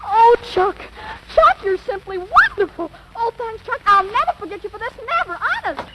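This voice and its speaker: A woman's voice: extremely high pitch, big pitch swings, moderate pace. An actress playing a dramatic, wheedling fifteen-year-old girl in a 1940s radio drama, pleading melodramatically. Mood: gushing. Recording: noisy old radio broadcast recording.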